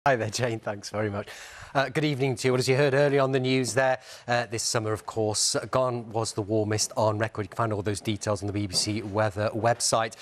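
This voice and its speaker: Low-voiced male weather presenter, dry sound. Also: steady tone, brisk, no room sound. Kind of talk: broadcast weather forecast.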